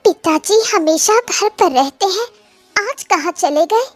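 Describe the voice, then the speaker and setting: Cartoon heroine, played by a woman, young villager, high voice, indignant and determined, dry sound with music behind. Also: animated, takes it slowly, no echo, full character voice. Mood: worried. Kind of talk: Hindi cartoon voice acting.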